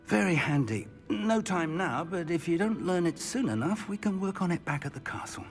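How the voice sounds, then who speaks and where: An actor playing a thoughtful, inquisitive wizard mentor who helps a student along. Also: male voice, high voice, lively intonation, normal speed, faint music behind. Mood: curious.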